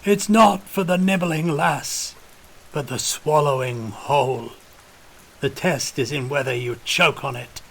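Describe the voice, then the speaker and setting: A man's voice: on the high side, measured pace, vocal fry, fairly bright tone, slight background noise, voice pushed up. A man voicing a calculating, fanatical old regiment officer, wheezy and intoning like a preacher, in an audiobook. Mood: teasing, solemn. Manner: intoning, preacherly, rustic archaic turns of speech.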